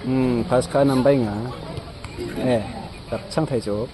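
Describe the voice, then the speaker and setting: A man casually commentating a football match from the sideline outdoors, shouting encouragement and laughing often. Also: mid-range voice, fairly even intonation, takes it slowly. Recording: crowd noise at an outdoor match. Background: crowd.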